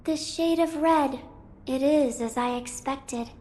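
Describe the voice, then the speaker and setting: A woman playing a gentle young holy maiden in a video game, speaking in a formal, measured and delicate way. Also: sits high. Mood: serene, solemn. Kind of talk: video game cutscene acting.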